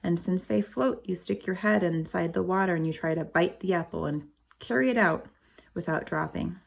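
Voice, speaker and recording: A woman reading a children's picture book aloud as a teacher, pausing to explain things and ask the children questions. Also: lowish voice, gravelly. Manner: explains to young children, asks them questions. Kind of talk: animated storybook read-aloud with asides to children.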